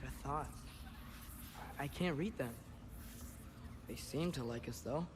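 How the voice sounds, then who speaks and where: A young actor playing an earnest, curious teenage adventurer in a video game. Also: male voice, mid-range voice, slow pace, noisy background, close to an everyday voice. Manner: casual, inquisitive.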